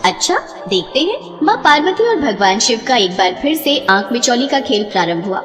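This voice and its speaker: A woman narrates a devotional tale in a high, expressive voice. Her scripted storytelling sits in a long reverb with music underneath. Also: brisk.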